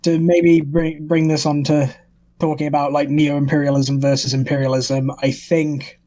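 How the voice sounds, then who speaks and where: Male panelist, slightly high voice, even intonation, conversational. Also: normal speed, dry recording. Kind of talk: casual political discussion.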